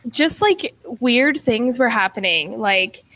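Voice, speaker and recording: Young woman teacher guest, bright and a bit high, chatty with many fillers, dry close mic. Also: animated, slow, no echo, low-quality recording. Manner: chatty, fills talk with "like" and "um", laughs often. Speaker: middle school teacher, guest. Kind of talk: casual interview chat.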